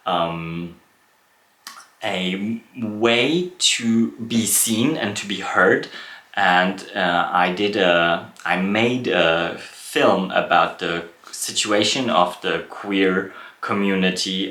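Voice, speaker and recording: A man's voice: deep voice, bright, forceful tone. A man in his thirties from Poland, hosting a reflective solo podcast, thoughtful and full of fillers and restarts. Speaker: podcast host. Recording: echoey room.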